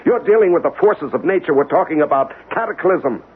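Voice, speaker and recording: Radio drama: fervent, desperate investigator, theatrical, hugely varied pitch, muffled vintage sound. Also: male voice, animated, a little fast, slightly rough. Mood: alarmed, pleading. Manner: impassioned, theatrical. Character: desperate, idealistic male investigator obsessed with impending doom. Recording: muffled, hissy old radio recording.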